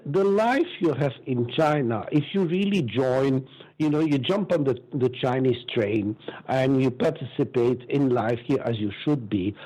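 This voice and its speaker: Older man, Belgian accent, mellow husky voice, animated interview storytelling, emphatic, repeats himself. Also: mid-range voice, low-quality recording. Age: 60s. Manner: emphatic, opinionated, repeats words. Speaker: interview guest.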